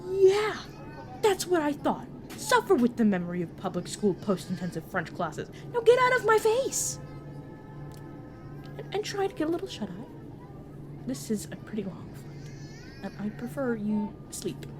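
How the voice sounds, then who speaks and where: A woman's voice: on the high side, huge pitch swings, moderate pace, long pauses, over music. A woman playing a sassy, protective escort to a new vampire in an audio roleplay, teasing and caring in manner. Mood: teasing, exasperated. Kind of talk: narrative audio roleplay acting.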